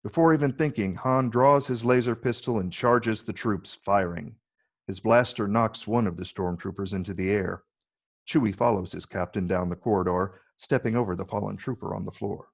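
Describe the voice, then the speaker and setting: Male narrator for a script reading: measured, descriptive, husky with a creaky low edge. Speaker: narrator reading stage directions. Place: virtual read, recorded remotely.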